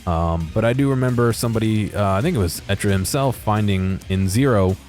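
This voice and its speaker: A man with a low voice talks through game footage in a casual, unscripted way. He is hesitant and understated, rambling a little as he goes. Music plays behind his commentary. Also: brisk, a little mellow. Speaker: video creator commentating on game exploration.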